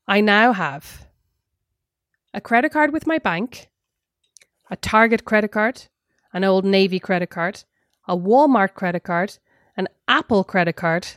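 A woman's voice: mid-range voice, expressive, measured pace, a bit bright, dry recording, clean studio sound. An Irish woman co-hosting a podcast, chatting in a rambling, self-mocking way. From Ireland. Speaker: podcast co-host. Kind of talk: casual chat.

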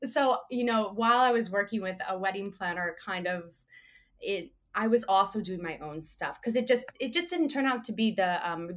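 A woman speaking as an interview guest, relaxed and chatty, with a hoarse voice. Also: medium pitch. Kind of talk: casual interview chat.

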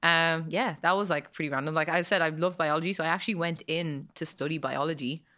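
Bright low-voiced Irish woman. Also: fairly even intonation, fast. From Ireland. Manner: chatty, lots of likes and ums, laughs easily. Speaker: guest. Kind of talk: casual interview chat.

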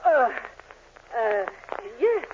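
A woman's voice: high voice, big pitch swings, very slow, lo-fi sound, a little hiss or hum, heavily acted. An actress playing a fussy middle-aged mother who is prim and easily flustered, in a 1940s radio comedy.

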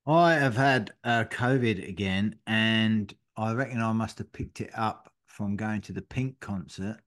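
A man's voice: lowish voice, measured pace, fairly bright tone. A middle-aged man co-hosting a podcast, telling a long story in casual chat and swearing freely. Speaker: podcast co-host.

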